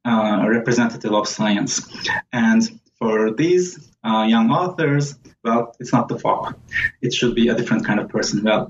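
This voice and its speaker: A man speaking as a scholarly interview guest, explaining things in a thoughtful, measured way with pauses. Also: fairly low pitch. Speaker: guest author and historian. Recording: dull lo-fi sound, faint background noise.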